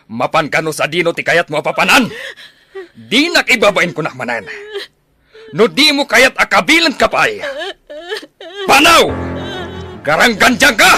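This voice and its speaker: A man's voice: high-pitched, very animated, a little slow, vocal fry, long pauses, bright, forceful tone, faint music behind, heavily acted. A man playing a harsh, shamed, domineering father in an audio drama, scolding and commanding in a northern Philippine accent. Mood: furious.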